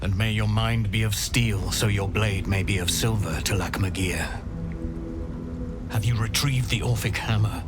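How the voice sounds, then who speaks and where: An actor playing a fervent, devout alien rebel knight in a video game, speaking in a solemn, oratorical way. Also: male voice, on the low side, steady tone, moderate pace, loud music behind the voice, natural voice. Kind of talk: video game cutscene acting.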